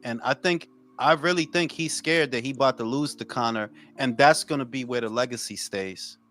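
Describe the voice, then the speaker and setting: A man's voice: medium pitch, normal speed, fairly bright tone, soft background music. A man hosting a casual boxing talk show, ranting bluntly and profanely. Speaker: podcast host.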